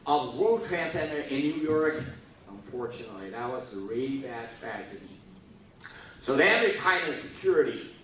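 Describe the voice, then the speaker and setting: A bright, animated middle-aged man, joking and teasing his way through a speech to a youthful crowd. His voice swings widely in pitch as he coaxes the audience to answer back. It rings through a big echoey hall, heard from some distance, with a faint hum of room noise. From Taiwan. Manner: playful, teasing, prods the audience to respond. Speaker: speaker at a youth forum.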